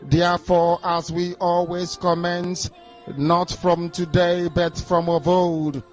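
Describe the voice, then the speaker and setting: High-voiced man intoning a slow prayer. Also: flat delivery. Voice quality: sing-song intoning. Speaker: prayer leader. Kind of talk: chanted prayer. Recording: dull lo-fi audio with music.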